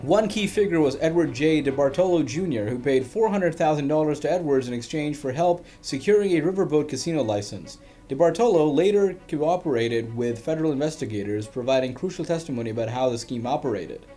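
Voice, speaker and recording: A man reading a scripted history documentary as the narrator, with a dramatic storytelling delivery and emphatic pauses. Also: on the high side, lively intonation, dark tone, faint music behind.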